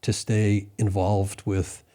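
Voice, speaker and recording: A man interviewing someone conversationally, with measured and deliberate phrasing. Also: lowish voice, monotone, warm tone.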